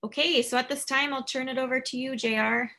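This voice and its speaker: Young woman, event host, webcam, brisk notes, fry in the voice. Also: high voice, fairly even intonation, bright, forceful tone. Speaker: event host introducing a speaker. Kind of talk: introduction and housekeeping notes. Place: office, indoors. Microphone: webcam on a video call.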